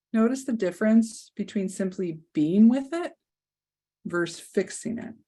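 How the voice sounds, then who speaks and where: A woman leading a guided meditation, speaking softly and soothingly with long pauses. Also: medium pitch.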